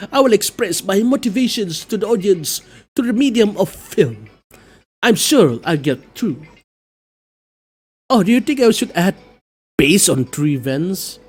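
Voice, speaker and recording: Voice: mid-range voice, darkish tone, slightly rough. A reader voicing a brash, playful woman who loves film, teasing and theatrical, in game dialogue. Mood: teasing, grand. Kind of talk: dramatic reading of game dialogue.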